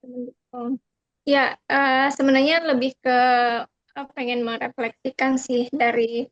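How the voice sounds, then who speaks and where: A woman's voice: sits high, little pitch movement, takes it slowly. A young woman speaking earnestly on a video call, hesitating often with fillers as she reflects in a discussion. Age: young adult. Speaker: online forum participant. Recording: dull lo-fi video call audio.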